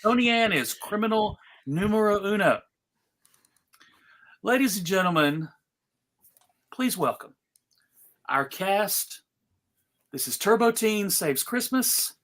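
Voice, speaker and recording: Theatrical, mock-serious host with lively pitch. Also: slow pace, long pauses. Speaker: panel host and narrator. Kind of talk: casual live event hosting.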